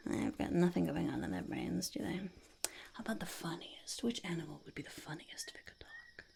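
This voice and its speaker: Whispering, gravelly goblin interviewer. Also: female voice, fairly low pitch, big pitch swings, a little mellow, heavily acted. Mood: curious, musing. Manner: halting, fussy, self-repeating, refers to self in third person. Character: eccentric, self-important goblin interviewing candidates for henchman. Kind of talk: ASMR roleplay, whispered and soft-spoken interview.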